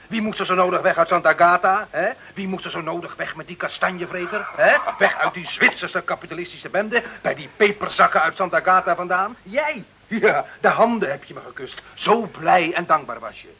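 A hot-headed, grumbling village bricklayer in a radio drama. His voice is forceful and mid-pitched, full of sarcasm and stubborn complaint. The old recording is dull, with some background noise. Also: brisk, hardly any pauses. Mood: blustering. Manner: blustering, sarcastic, argumentative. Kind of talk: radio play acting.

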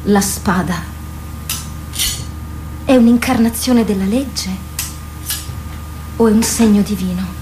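A woman's voice: medium pitch, slow, pauses often, dark tone, a little hiss or hum, lightly acted, low-quality recording. An actress playing an impassioned, poetic woman in a theatrical radio drama, speaking with emphatic delivery. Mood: solemn, reflective. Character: impassioned woman confronting and pleading with a warrior.

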